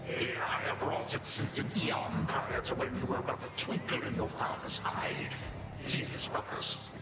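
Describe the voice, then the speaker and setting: An actor playing an ancient, bitter Titan grandfather in a video game, with a breathy hiss and grandiose, archaic, sardonic delivery. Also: mid-range voice, monotone, moderate pace, natural voice. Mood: scornful, bitter. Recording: loud music behind, echoing room. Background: loud game music.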